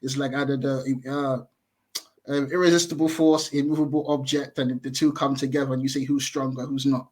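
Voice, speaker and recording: A man's voice: mid-range voice, flat delivery, normal speed, darkish tone. A man in his thirties, a podcast guest chatting over a video call, thinking aloud and correcting himself as he goes. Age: 30s. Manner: thoughtful, hedging, self-correcting.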